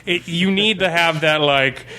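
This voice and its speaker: Guy game master just chatting, bright voice, super informal, says like a lot. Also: a little high, measured pace, low-quality recording. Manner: informal, peppered with "like" and swearing. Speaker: game master on a gaming podcast. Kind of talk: casual chat, recounting a game campaign.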